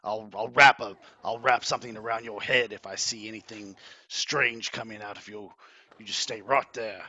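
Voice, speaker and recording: A man voicing a gruff, suspicious older male guard captain who barks threats. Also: fairly low pitch, fairly even intonation, moderate pace, pushed and bright, dry recording, highs cut off, full character voice. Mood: threatening.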